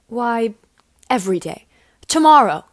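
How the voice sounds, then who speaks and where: A woman playing a devoted, gently teasing young noblewoman, formal and romantic, in an amateur period audio drama. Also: a little high, big pitch swings, a little slow. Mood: playful.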